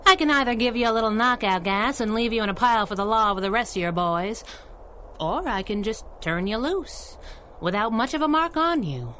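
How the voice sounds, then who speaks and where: A woman's voice: a little high, animated, fairly quick, a bit bright, slight background noise. A woman playing a cocky, taunting superheroine, wisecracking in a 1930s-style radio drama. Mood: teasing, menacing. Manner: teasing, wisecracking, 1930s slang.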